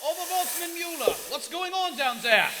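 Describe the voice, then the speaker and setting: Male actor as brisk submarine lieutenant, military, lively intonation, radio play, hissy echoing stage. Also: very high-pitched. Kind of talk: live radio theater acting. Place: on stage in a large theater. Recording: hissy echoing theater hall.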